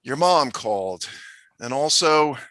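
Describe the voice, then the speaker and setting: Awkward male principal played by an actor: tactful, hesitant, crisp bright tone, dry sound. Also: medium pitch, lively intonation, slow, dry recording. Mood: placating. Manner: hesitant, placating. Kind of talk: comedy script table read.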